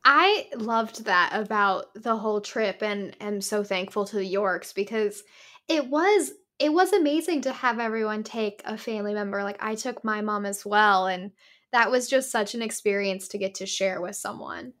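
Bright-voiced woman, an enthusiastic podcast host. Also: mid-range voice. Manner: enthusiastic, asks quick follow-up questions. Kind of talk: casual interview chat.

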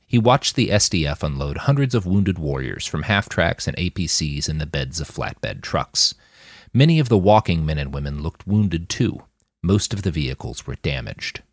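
Low-pitched man narrating fiction, lively inflection. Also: no echo, clean studio sound. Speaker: audiobook narrator.

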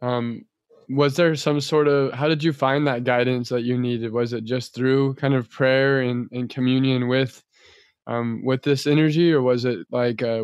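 A man's voice: medium pitch, clean tone, dry recording, clean studio sound. A man hosting an interview, asking questions in a hesitant way with many fillers. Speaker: podcast host.

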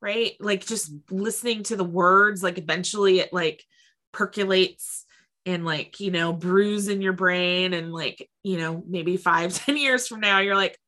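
A woman co-hosting a veterinary podcast in casual chat, acting out little imagined quotes in an animated way, with a slightly stuffy nose. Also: lowish voice, measured pace. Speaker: podcast co-host; veterinary technician.

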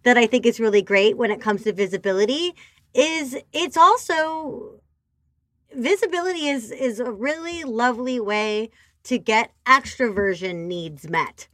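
A woman with a nasal voice talking casually on a podcast, expressive and animated as she tells stories. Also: on the high side, unhurried, dry recording, studio quality. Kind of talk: casual chat. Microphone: remote call.

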